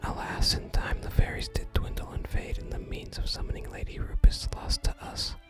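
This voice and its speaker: An ASMR gamer voicing a solemn, archaic-speaking sage from a fantasy game in a hushed whisper. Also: normal speed, natural voice. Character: solemn archaic sage guarding a sacred staff. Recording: soft music under the whisper.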